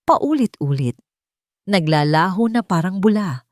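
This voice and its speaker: Lady storyteller, lowish voice, solemn dramatic narration w big pitch swings, super dry recording. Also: very animated, a little slow, a little mellow, no echo.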